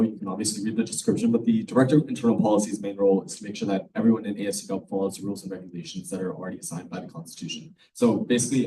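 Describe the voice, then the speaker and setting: Young man giving a distant, mellow campaign speech. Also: lowish voice. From California. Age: young adult. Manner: earnest, filler-heavy public speaking. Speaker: student election candidate. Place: room at a public forum. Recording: voice from far off the mic.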